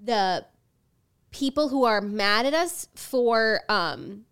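Brief: young woman with a cold, nasal and a bit high, dry sarcastic podcast co-host. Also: lively intonation, slow pace, fairly bright tone, smooth. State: sick, nasal voice. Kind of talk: casual chat.

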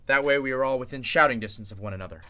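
A man playing a confident, suspicious, sharp-minded district attorney who takes charge, in an old-style radio drama. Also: medium pitch, racing, smooth, close to an everyday voice. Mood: commanding, reassuring. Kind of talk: golden age radio drama acting.

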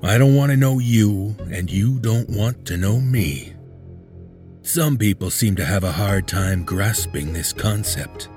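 A man's voice: on the low side, unhurried, darkish tone, soft background music. A man narrating a first-person horror story in a terse, cold, clipped way.

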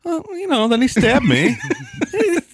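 Wisecracking man with a very animated voice. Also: very high voice, a little slow, slight background noise. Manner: wisecracking, swears. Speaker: podcast co-host. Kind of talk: casual podcast chat.

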